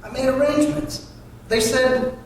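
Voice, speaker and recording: A man's voice: very high-pitched, fairly even intonation, measured pace. A man reading the part of an earnest, troubled veteran down on his luck at a script table read. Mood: pleading. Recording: echoey room, distant mic, faint noise.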